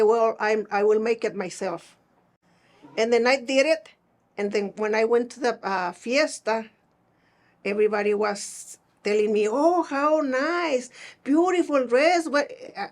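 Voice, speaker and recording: A middle-aged woman from Mexico with a northern Mexican Spanish accent, telling personal stories conversationally in a plain-spoken, halting way. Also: a little high. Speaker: seamstress being interviewed.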